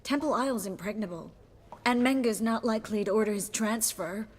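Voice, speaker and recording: A woman's voice: on the high side, moderate pace, close to an everyday voice. A woman playing a weary but resolute young sorceress in a video game, with a wry, understated delivery. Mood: focused. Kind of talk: video game character acting.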